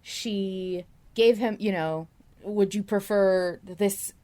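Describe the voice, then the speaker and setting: A woman co-hosting a podcast, chatting casually and enthusiastically about a story as a fan, with an expressive, giggly manner. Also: medium pitch, takes it slowly. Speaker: podcast co-host. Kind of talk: casual fan discussion of an audio drama.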